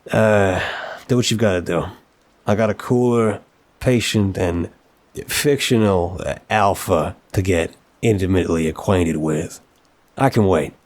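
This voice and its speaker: A man playing a suave, playful young mafia boss in an intimate audio roleplay, teasing and smooth-talking, leaning on words for emphasis. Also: sits low, a little slow, darkish tone. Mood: amused, casual.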